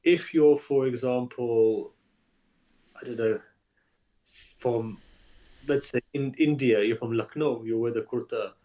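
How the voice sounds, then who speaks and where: Emphatic, animated male imam guest. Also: mid-range voice, slow pace, long pauses, rough recording. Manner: animated, emphatic, repeats phrases. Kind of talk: conversational interview.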